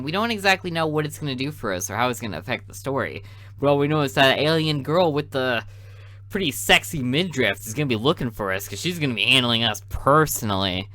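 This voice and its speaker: A man's voice: a little high, sharp tone. A man who is a gaming YouTuber, reading a visual novel aloud with casual, joking commentary.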